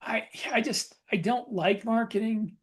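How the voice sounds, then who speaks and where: A man's voice: high voice, unhurried, husky. Plain-spoken middle-aged American man chatting. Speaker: podcast co-host, writer. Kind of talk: casual chat.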